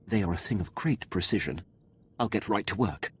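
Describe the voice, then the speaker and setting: An actor playing a dutiful, precise Imperial officer in a video game, speaking formally and deferentially. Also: male voice, lowish voice, expressive, normal speed, breathy edge. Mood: composed, pleased.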